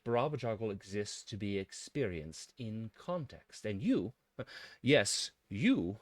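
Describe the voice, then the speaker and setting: A man delivering a scripted mock-academic monologue in a pompous, philosophical manner with dramatic pauses. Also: on the low side, dry recording, clean studio sound. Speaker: presenter of a mock-scholarly essay.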